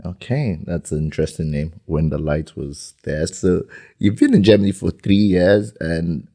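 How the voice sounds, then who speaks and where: A man's voice: low-pitched, normal speed, mellow. A man hosting a casual interview podcast, hesitant and often repeating words as he starts a question. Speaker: podcast host.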